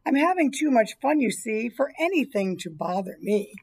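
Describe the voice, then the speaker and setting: A woman in her fifties with a fairly high, husky voice reads a children's storybook aloud. She delivers it as a teacher would when reading to young children. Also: sing-song, moderate pace, darkish tone. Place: small indoor school room or library.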